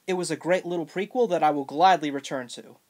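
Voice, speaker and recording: A woman's voice: low-pitched, quick pace, a little mellow. A woman reading a scripted video game review as narration.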